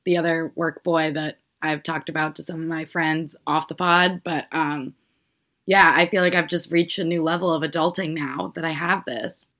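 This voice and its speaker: Lowish voiced woman in her 20s, rambly podcast storyteller, giggly. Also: steady tone, normal speed, fairly bright tone. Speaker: podcast co-host. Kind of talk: casual podcast chat.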